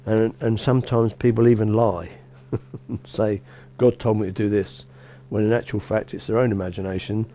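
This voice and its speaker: Middle-aged bishop, soft mellow voice, chatty sermon, lots of "you know" and restarts. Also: male voice, lowish voice, fairly even intonation, normal speed. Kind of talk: informal sermon. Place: small church with a lectern.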